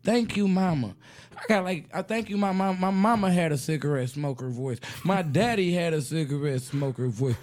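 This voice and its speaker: A man hosting a casual radio chat, with a raspy, deep voice, joking around and laughing a lot. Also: normal speed, a little mellow, dry recording. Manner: playful, laughs often, breaks into off-key singing. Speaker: radio show host.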